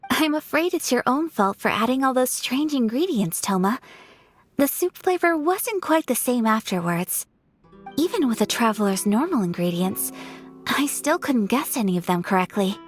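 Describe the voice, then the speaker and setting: A refined young noblewoman from a video game, poised and polite. Her voice is on the high side and softly breathy, with formal, earnest phrasing over background music. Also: expressive, normal speed. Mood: gentle, earnest.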